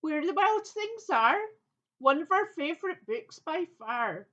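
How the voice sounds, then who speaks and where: A woman's voice: high voice, sing-song, a little slow, a bit bright, muffled recording, exaggerated voice. A woman voicing a chirpy squawking sea bird that repeats its phrases in a children's audio play. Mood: gleeful warning.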